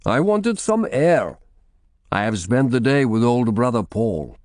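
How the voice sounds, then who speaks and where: A man voicing a gentle, grave monk in an audiobook, with a measured manner. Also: mid-range voice, sing-song, dry recording, clean studio sound, voice pushed up. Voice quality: lisping. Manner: slow, soft, measured. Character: gentle, grave foreign monk with dark face.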